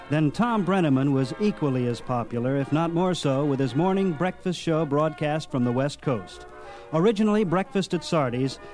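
Guy narrating an old radio show compilation, slightly high voice, music playing under him. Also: darkish tone. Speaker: host of old-time radio highlights. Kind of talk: scripted narration.

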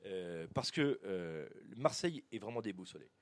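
A middle-aged male football journalist recalling anecdotes, often halting and repeating his words as he goes. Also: sits low, sing-song, dry recording. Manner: halting, stammering repetitions. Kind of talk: animated anecdotal recollection.

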